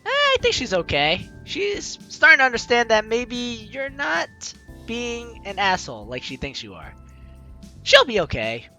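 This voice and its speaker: Bright, very animated woman gaming host. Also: a little high, unhurried. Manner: animated, chatty reactions to the story. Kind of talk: casual let's play commentary, reading game text aloud. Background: game music.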